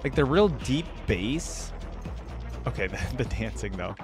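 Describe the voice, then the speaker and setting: A man's voice: mid-range voice, expressive, measured pace. A man in his twenties giving casual live reaction commentary, thinking aloud in an informal way into a close microphone. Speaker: reaction video host. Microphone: close desktop microphone, headset worn. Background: game theme music.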